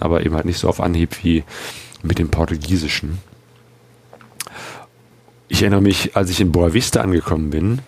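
A man's voice: low voice, gravelly, darkish tone, slightly rough. A man from Germany talking casually about his motorcycle trip, rambling with lots of fillers and restarts. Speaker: motorcycle travel vlogger. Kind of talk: casual travel vlog talk.

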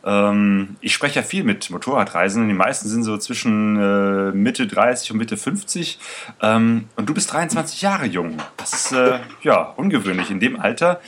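A man's voice: fairly low pitch, lively intonation, normal speed, fairly bright tone. A man hosting an interview, asking questions in a hesitant way with many fillers. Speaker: host. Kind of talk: conversational interview.

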